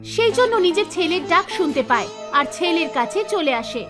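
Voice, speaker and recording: A woman narrating an animated folk tale in a scripted storytelling style. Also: very high-pitched, normal speed. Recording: music under the voice. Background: background music.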